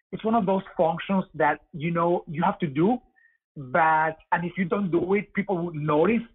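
A man's voice: high-pitched, husky, no room sound. Venezuelan man on a tinny web call. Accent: Venezuelan Spanish. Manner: repeats words, many "you know" fillers, laughs easily. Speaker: guest. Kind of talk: casual interview chat. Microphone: over a web call. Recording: dry, phone-like web call.

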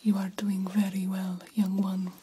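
A woman in an ASMR roleplay voicing a formal, reassuring, slightly vain female vampire doctor in a gentle, breathy whisper. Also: mid-range voice, completely flat delivery, slight background noise, natural voice. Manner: slow, formal, measured, gently encouraging.

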